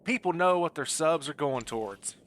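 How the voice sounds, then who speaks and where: A man's voice: a little high, lively intonation, normal speed, fairly bright tone. A man livestreaming a game, chatting casually in a friendly, rambling way as he thinks aloud. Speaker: game streamer. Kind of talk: casual livestream chat over gameplay.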